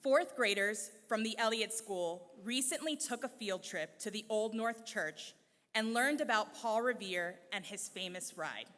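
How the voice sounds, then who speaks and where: A woman giving a formal, measured introduction at an awards ceremony in a large church hall. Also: mid-range voice, bright, forceful tone. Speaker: event presenter. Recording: long reverb of a church hall.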